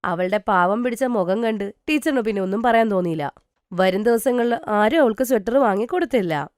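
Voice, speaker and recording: A female storyteller narrates a cartoon tale from a script. Her pitch is average for a woman, and the sound is dry without room echo. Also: lively intonation, a little fast.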